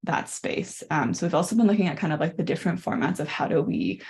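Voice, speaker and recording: Woman presenter, hesitant and filler-heavy, slightly low voice. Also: expressive, fast talker, vocal fry, darkish tone. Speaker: presenting researcher. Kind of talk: online symposium presentation.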